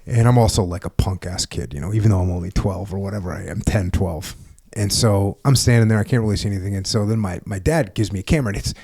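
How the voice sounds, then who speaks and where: A man hosting a podcast, telling a personal story in a loud, animated, self-amused way. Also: lowish voice, fast, slightly rough. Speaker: podcast host. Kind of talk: casual storytelling conversation.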